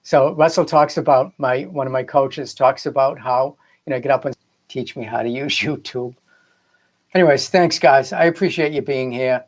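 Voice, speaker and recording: A man's voice: mid-range voice, normal speed. A middle-aged or older man with a Boston accent speaks casually and earnestly as a spiritual coach on a livestream, rambling and repeating phrases for emphasis. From Boston area, United States. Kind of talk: casual motivational livestream talk.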